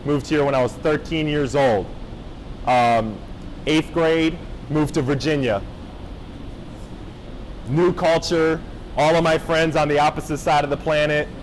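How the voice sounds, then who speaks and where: A young man from Japan tells his story to a room of high school students in a loose, engaging way, often checking that they are with him. His voice sits a little high. Faint background noise runs under the talk. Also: a little slow, pauses often, poor audio. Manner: casual, engaging, checks in with the audience. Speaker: motivational speaker. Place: high school gathering space.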